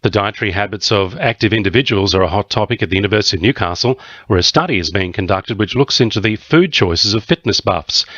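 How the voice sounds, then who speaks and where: A man's voice: fairly low pitch, fairly bright tone, no echo, muffled recording. A man with an Australian accent reading a scripted radio news bulletin. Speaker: news reader. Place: dry radio studio.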